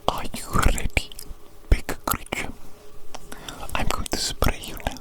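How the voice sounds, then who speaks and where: A woman whispering an ASMR roleplay as a doctor fussing over a creature, coaxing and soothing, often repeating her phrases. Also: unhurried.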